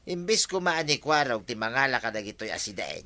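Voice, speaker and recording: A man's voice: medium pitch, big pitch swings, a little fast, sharp tone. A Filipino man acting a scheming, gloating village freeloader in an audio drama.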